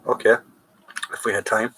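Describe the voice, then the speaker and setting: Chatty Irish man, bright tone. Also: moderate pace, a little hiss or hum. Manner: chatty, reads out viewer comments, trails off and restarts. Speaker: host of a live chat. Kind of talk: casual live-stream Q&A reading chat comments.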